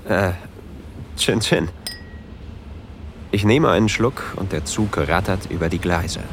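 An actor playing an introverted, easily flustered train traveler who tells of a romantic encounter in an intimate audio drama. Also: male voice, deep voice, takes it slowly, background noise. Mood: shy, flustered.

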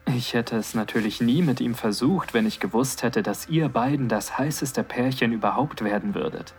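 A man playing a playful, flirtatious best friend in an erotic audio drama, with a husky voice. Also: mid-range voice, normal speed, soft background music. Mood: playful, teasing.

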